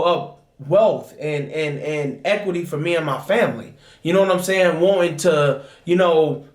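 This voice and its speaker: A man's voice: sits high, echoey room. A man, talking casually and passionately as a guest, often asking "you know what I'm saying". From Dayton, Ohio, USA. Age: 20s. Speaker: guest, host's husband. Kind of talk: casual chat.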